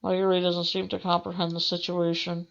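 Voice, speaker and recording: A woman's voice: on the low side, monotone, moderate pace, gravelly, muffled recording. A woman gamer reading visual novel narration aloud, with casual asides of her own. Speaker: gamer doing a let's play. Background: game music.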